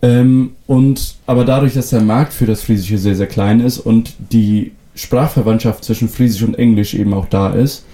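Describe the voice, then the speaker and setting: A young man with North Frisian as his first language, speaking in a very dark, mellow tone and a slightly low register. His manner is relaxed and thoughtful, full of small fillers and restarts as he answers questions. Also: moderate pace, few pauses. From Föhr, northern Germany. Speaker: musician guest. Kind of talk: casual interview answers.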